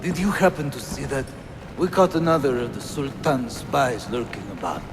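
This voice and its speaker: An actor playing a smug, gossiping guard in a game cutscene, with a scornful manner. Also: male voice, mid-range voice, big pitch swings, moderate pace. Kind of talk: video game cutscene acting. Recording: echoing, noisy background.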